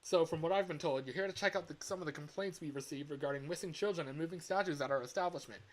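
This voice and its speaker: A man performs an upbeat corporate caller briefing a new hire in a video game phone message. The delivery is official and presentational. The pitch is lifted well above ordinary male chat, keeping the briefing cheerful. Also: fast talker, natural voice, low-quality recording. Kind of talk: improvised game phone-call voice line.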